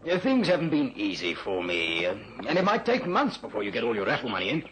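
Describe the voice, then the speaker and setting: An actor playing a hesitant, plain-spoken stable owner in a radio drama, wary in manner, on a noisy old recording. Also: male voice, mid-range voice, wildly swooping pitch, fast. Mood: hesitant, doubtful.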